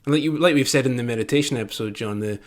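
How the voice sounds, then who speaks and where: A man's voice: medium pitch, racing. A man co-hosting a casual podcast conversation, agreeable and reflective, often chiming in with short replies. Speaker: podcast co-host.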